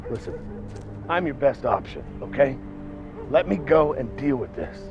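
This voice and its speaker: A sardonic, middle-aged hero in a video game cutscene. His mid-pitched delivery is very animated, blunt and insistent with a wry edge. Music is clearly audible behind him. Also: normal speed, dull audio.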